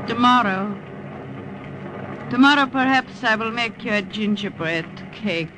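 A woman's voice: fairly high pitch, measured pace, natural voice. A woman acting a weary, fearful middle-aged Dutch aunt in an old wartime radio drama, speaking sorrowfully. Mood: wistful. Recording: noisy old-time radio recording.

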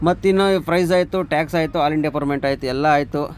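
A man's voice: on the high side, fast talker, darkish tone. A man, a truck driver vlogger chatting casually to his viewers and explaining a vehicle, outdoors with faint traffic noise behind. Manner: chatty, addresses viewers as brother and friends.

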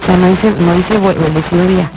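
A woman's voice: fairly low pitch, fairly even intonation, fast, rough recording. A Mexican woman radio host chatting casually and colloquially, jokey as she reads out listeners' messages. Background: loud music under the voice.

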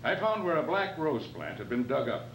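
An older man playing a composed, shrewd private detective in a radio play, reading in a measured, questioning way. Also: a little high, big pitch swings, a bit bright. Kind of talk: radio play read from script. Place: function room, live staged reading. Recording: roomy, distant mic, noisy humming tape.

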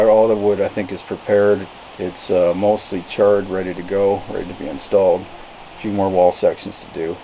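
A man in his fifties explaining casually to camera, unhurried and thinking aloud as he goes. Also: low voice, dark tone, rough recording. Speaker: vlogger, cabin builder. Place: outdoors in a forest, open timber frame. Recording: clear background noise outdoors.

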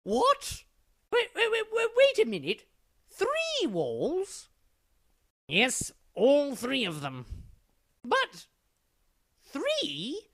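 A man voicing an excitable, naive and easily baffled cartoon character who stammers and exclaims in comedy dialogue. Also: very high voice, very slow, pauses often, full character voice.

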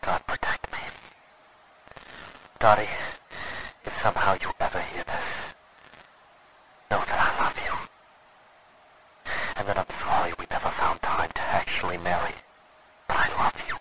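An actor playing a desperate, devoted man recording a last message, speaking gravely and haltingly in radio drama style. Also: deep voice, steady tone, background noise. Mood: grave resolve, then tender sorrow. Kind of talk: golden age radio drama acting.

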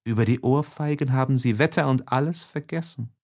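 Ein Mann, der in einem Hörbuch eine lebhafte, mutige junge Frau spricht. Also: male voice, mid-range voice, fairly quick, a little mellow, natural voice. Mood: warm, confiding. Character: lively, brave young woman leading a parlour game. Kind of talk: audiobook character dialogue.

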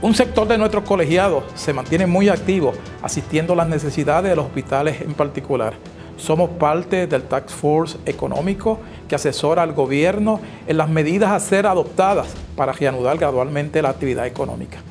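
A middle-aged man with a Puerto Rican accent delivering a scripted, formal address in a measured manner. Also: fairly high pitch, a little mellow. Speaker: president of an engineers' association. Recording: music playing behind the voice.

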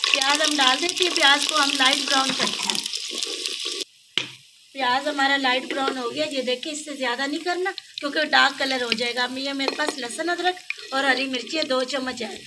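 A Pakistani woman with a high voice talks through a home recipe in a chatty, homely way. She is recorded by phone outdoors at a clay stove at night, and her voice sits a little far from the mic. Loud frying and sizzling run underneath. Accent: Pakistani Urdu. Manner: chatty, homely, pleads with viewers to subscribe. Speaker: cooking vlogger. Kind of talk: casual cooking narration. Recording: distant voice with sizzling noise.

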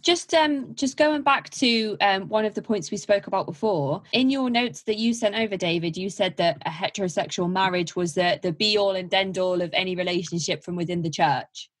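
A woman's voice: medium pitch, normal speed, few pauses. A woman hosting a podcast interview, asking questions in a hesitant way with frequent restarts. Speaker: podcast host. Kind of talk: casual interview.

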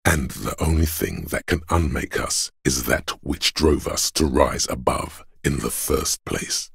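A man voicing a grim, stoic inner voice of endurance for a game, in a solemn, portentous manner with a husky, gravelly quality. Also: very deep voice, expressive, measured pace, fairly bright tone, no echo, clean studio sound, heavily acted. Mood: solemn, menacing. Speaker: voice actor. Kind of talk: dramatic game narration, second person.

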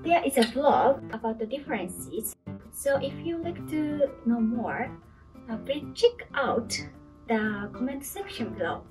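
Hesitant shop assistant. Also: female voice, fairly high pitch, animated, a little slow, distant mic, poor audio. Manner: hesitant, explanatory, with fillers. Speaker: shop staff. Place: large indoor shop. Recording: off-mic, music behind.

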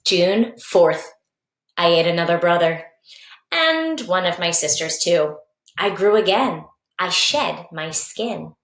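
A woman's voice: on the low side, expressive, slow pace. A woman voicing a small, cocky young male praying mantis reading out his diary in playful, boastful short sentences, storybook style. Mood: hungry. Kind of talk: expressive children's storybook reading. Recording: echoey basement room.

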